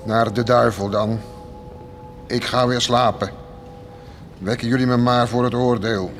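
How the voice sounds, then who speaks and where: An actor in a Dutch radio drama playing a sleepy, surly, fed-up villager who grumbles. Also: male voice, medium pitch, steady tone, a little slow, close to an everyday voice. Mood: drowsy, surly. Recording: music behind the voice.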